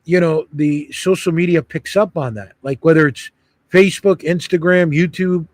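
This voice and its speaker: A man's voice: a little high, moderate pace, no echo. A man on a group call, talking in an earnest, reflective and meandering way. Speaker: call participant. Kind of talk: casual group chat.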